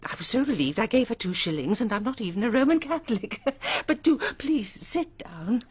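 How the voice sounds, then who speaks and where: An actress playing a nervous, chatty, genteel middle-aged English spinster in a radio drama, fluttery and hesitant, with a breathy, husky voice. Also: female voice, on the high side, lively intonation, fairly quick, dull audio. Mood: flustered, apologetic.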